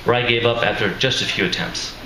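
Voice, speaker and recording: A man, an author, reading his fiction aloud at a live event in a measured, deliberate way. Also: on the low side, gravelly, sharp tone. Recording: echoey room with faint noise.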